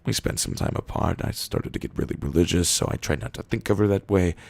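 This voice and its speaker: Male reader for comic monologues: husky, very creaky, deadpan delivery with drawn-out pauses, recorded dry. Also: low voice, dry recording. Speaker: reader of internet copypasta posts. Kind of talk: deadpan comedic reading of written posts.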